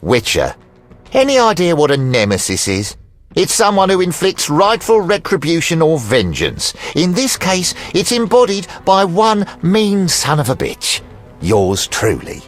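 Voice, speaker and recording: A man's voice: on the low side, sing-song, unhurried, a bit bright, faint music behind, full character voice. An actor playing a sadistic, gloating male loan shark with a taunting, theatrical way of threatening, in a video game. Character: sadistic, menacing male loan shark who keeps beasts.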